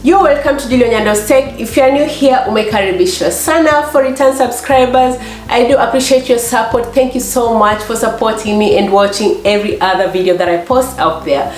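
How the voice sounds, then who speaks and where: A middle-aged woman with a Kenyan accent and a slightly hoarse voice, chatting casually as a vlog host and acting out little exchanges as she tells stories. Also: fairly high pitch, brisk, faint music behind. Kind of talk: casual chat to camera. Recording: echoey room.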